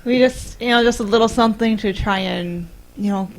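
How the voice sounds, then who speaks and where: A woman's voice: fairly high pitch, a little fast, over a noisy crowd, poor audio. A woman co-hosting a podcast in casual, conversational talk. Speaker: podcast co-host. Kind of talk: casual chat. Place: small room, round table.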